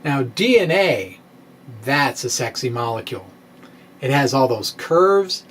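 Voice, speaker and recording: A middle-aged man giving a dramatic reading of a science blog in a wry, theatrical manner with pointed pauses, close to a microphone in a small room. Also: medium pitch, expressive, fairly bright tone, a little hiss or hum. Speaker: science blogger and presenter. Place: small indoor room with bookshelves. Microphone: microphone on a stand, close in front.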